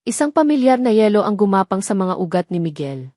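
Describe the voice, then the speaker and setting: A woman's voice: mid-range voice, very animated, fairly quick, dark tone, no room sound, clean studio sound. A woman narrating a scripted romantic drama as a storyteller, in a dramatic reading style.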